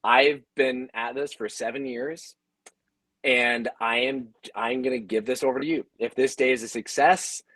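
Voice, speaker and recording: A man speaks as a storyteller on a panel, in a casual and emphatic way. His pitch is middling and the sound is dry. His sentences lean on "like" and roll along conversationally. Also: fairly even intonation, a little slow, a bit bright, dry recording, poor audio. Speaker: radio host and audio drama creator.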